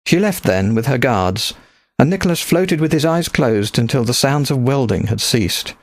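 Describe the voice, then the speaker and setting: A man narrates fiction in a medium-pitched voice. The recording is dry and close, in the manner of an audiobook. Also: lively intonation, normal speed, dry recording. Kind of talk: scripted story narration.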